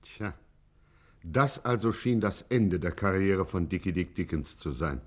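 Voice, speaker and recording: Low-voiced, mock-grave serial narrator. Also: male voice, lively intonation, measured pace. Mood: mock-grave suspense. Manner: suspenseful, mock-dramatic. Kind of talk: scripted 1950s German radio narration.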